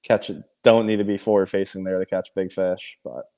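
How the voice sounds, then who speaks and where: Male angler guest, somewhat low pitch, relaxed conversational delivery, brief answers. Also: moderate pace. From New England, near Massachusetts. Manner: laid-back, brief answers, chuckles often. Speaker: amateur tournament angler, guest. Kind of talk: casual interview chat.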